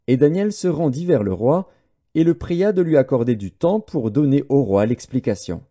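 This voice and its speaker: Male audiobook narrator for scripture: deep-toned mellow timbre, lively intonation, formal and measured.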